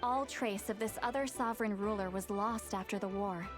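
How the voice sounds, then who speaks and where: An actress playing a shrewd, wary self-styled granny in a video game, speaking in a measured, serious way. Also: female voice, mid-range voice, lightly acted. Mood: grave. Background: game music.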